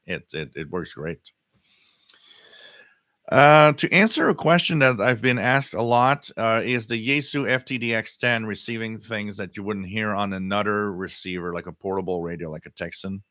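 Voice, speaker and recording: A man hosting a casual live hobby stream, answering chat comments in a halting way and often repeating his words. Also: lowish voice, pushed and bright, dry recording, clean studio sound. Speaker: livestream host.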